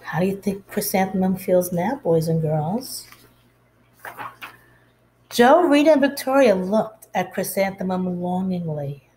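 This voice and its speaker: A woman reading a picture book aloud as a schoolteacher, expressively and deliberately, putting questions to her young listeners. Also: on the low side, animated, warm tone, a little hiss or hum, poor audio. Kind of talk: expressive children's storytime read-aloud.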